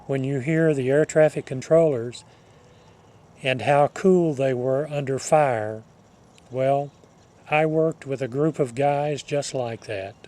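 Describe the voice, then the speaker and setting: An older man telling a personal reminiscence to listeners, speaking in a measured, deliberate way with halting phrasing. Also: medium pitch, mellow. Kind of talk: personal reminiscence told to an audience.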